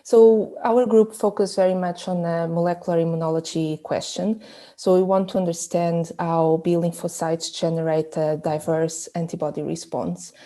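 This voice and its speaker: A woman's voice: fairly low pitch, flat delivery, moderate pace, dark tone. A woman from Portugal, a research group leader, explaining her work in an interview with frequent fillers and restarts. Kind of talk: interview answers.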